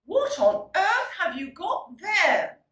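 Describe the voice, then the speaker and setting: A woman's voice: very high voice, big pitch swings, slow pace, fairly bright tone, roomy sound, far from the mic, voice pushed up, heavily acted. A woman voicing a fussy, disapproving parent in a storybook, with an emphatic, scolding way of talking. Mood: indignant.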